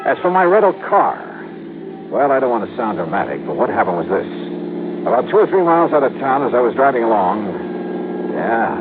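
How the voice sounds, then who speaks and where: A man's voice: medium pitch, natural voice. An actor playing a wry, wisecracking male insurance investigator who narrates his own case in a radio drama. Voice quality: dry, wisecracking. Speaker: lead and narrator. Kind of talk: 1950s radio drama acting. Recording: muffled old radio broadcast with music.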